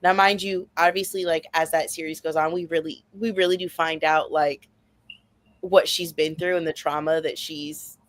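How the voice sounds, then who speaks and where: Woman, slightly low pitch, dry close recording, casual book chat, emphatic and enthusiastic, lots of "like". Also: fairly even intonation, moderate pace, no echo. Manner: enthusiastic, emphatic, says "like" and "you know" constantly. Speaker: book discussion panelist.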